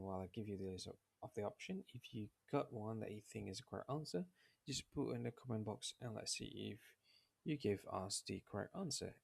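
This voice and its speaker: A man hosting an explainer quiz video with halting, repetitive phrasing and a husky, creaky voice. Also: on the low side, darkish tone. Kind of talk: explainer narration, quiz question and answer.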